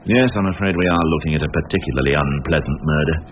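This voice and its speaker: An actor playing a world-weary older police inspector with dry, understated irony in a radio crime drama. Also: male voice, rumbling low, fast, music in the background. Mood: weary, businesslike. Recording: dull, noisy radio drama recording.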